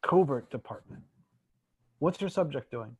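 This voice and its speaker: A man's voice: mid-range voice, animated, unhurried, darkish tone, slightly rough. A man acting an eager, unsure trainee agent in a screenplay reading, hesitant and full of questions. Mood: curious.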